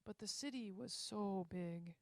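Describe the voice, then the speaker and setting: A woman's voice: mid-range voice, measured pace, creaky, no echo. A woman reading as shy, earnest Marcella. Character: shy, dazed young working woman, Marcella.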